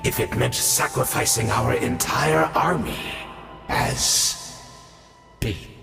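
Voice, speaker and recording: An actor playing a grandiose, scheming centuries-old villain in a video game, with theatrical, mock-courteous delivery and a gravelly voice. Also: male voice, medium pitch, pushed and bright, exaggerated voice. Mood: coldly insistent. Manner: theatrical, measured, mock-courteous, swelling into fervour. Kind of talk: dramatic video game voice acting. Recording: echoey hall reverb under music. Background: game score music.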